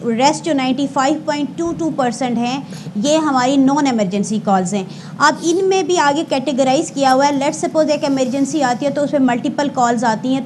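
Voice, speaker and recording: A woman with a Pakistani accent and a very high voice speaks as an official spokesperson. She explains things formally, pausing and correcting herself at times. There is faint noise behind her. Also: a little mellow. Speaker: emergency service spokesperson, interview guest. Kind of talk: explanatory interview answers with statistics, Urdu mixed with English.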